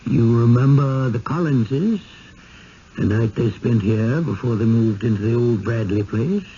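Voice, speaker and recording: An actor in a 1970s radio drama playing an elderly, genteel innkeeper, measured, persuasive and quietly firm. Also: male voice, mid-range voice, expressive, lightly acted. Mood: quietly warning. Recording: dull, noisy old radio broadcast.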